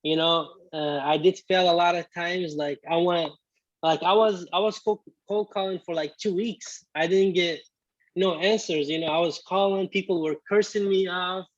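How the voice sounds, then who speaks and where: A man in his twenties, born in Iraq with Arabic as his first language, telling his story as a guest in a casual interview, halting with many restarts. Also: high voice, steady tone, dry recording, lo-fi sound. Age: 20s. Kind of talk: casual video-call interview.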